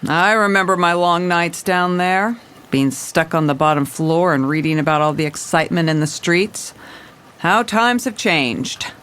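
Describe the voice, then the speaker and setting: An actress playing a jaded, wry police commissioner who narrates in hardboiled detective style in an audio drama. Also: female voice, lowish voice, measured pace, fairly bright tone, smooth. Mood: wry, weary. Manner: wry, world-weary. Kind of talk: scripted noir detective narration with dialogue.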